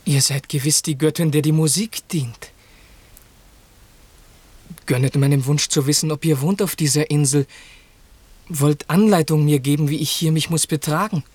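Man playing a husky, lovestruck young shipwrecked prince. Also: a little high, fairly even intonation, normal speed, pauses often, a little mellow, no room sound, natural voice. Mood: awed. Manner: declamatory, lofty. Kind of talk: classic German radio drama verse acting.

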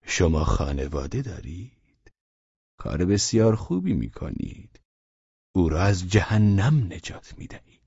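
A man voicing a blunt, scolding older landlady, low and slow. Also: sing-song, gravelly, dark tone, husky, no room sound, dull audio, full character voice.

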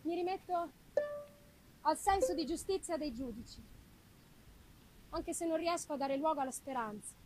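A woman voicing a wretched young accused woman pleading her innocence, solemn and deliberate, in a gothic novel reading. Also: high voice, darkish tone, lightly acted, poor audio. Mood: anguished, resigned. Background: live music.

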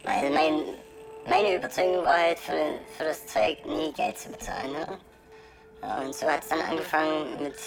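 Female interviewee, deep-toned, casual and hesitant, tag questions, music underneath. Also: gravelly, poor audio. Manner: colloquial, halting, trailing tag questions. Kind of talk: casual, candid interview talk.

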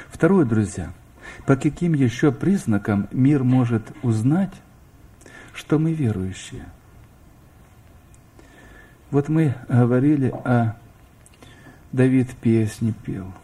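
A man's voice: medium pitch, warm tone, slightly rough. A middle-aged man preaching a sermon, speaking straight to his listeners and asking them questions. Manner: addresses listeners directly with questions, rising emphasis. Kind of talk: sermon to a congregation.

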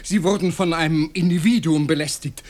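A male actor portrays an obsequious hotel director in a vintage radio play. He speaks in a fairly high voice, fussy and fawning, eager to smooth everything over for an important guest. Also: brisk, a little mellow, close to an everyday voice. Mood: apologetic, fawning. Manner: fawning, deferential. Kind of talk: 1950s German radio play acting.

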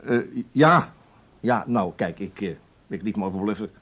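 A man's voice: lowish voice, animated, normal speed, a bit bright, muffled recording. An actor playing an indignant, gossiping colleague in a Dutch radio play, telling his tale in a blustery, colloquial, emphatic way.

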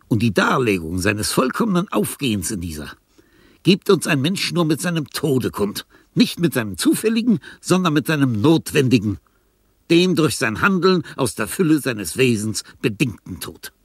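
A gravelly, imperious old dying composer. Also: male voice, fairly low pitch, dry recording, heavily acted. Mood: disdainful, emphatic. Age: older. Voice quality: husky, gravelly. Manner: declamatory, pompous, pausing, emphatic. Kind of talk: radio drama monologue.

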